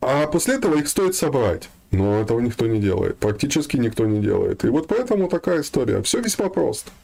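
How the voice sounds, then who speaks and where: A man's voice: medium pitch, big pitch swings, quick pace, creaky, a little mellow. A man giving an informal spiritual talk, speaking colloquially with some slang and addressing his listeners directly.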